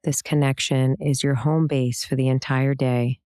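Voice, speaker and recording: A woman leading a guided meditation, giving calm, gentle, soothing instructions with pauses between phrases. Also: very deep voice, smooth, dry recording, clean studio sound. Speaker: meditation guide, yoga teacher.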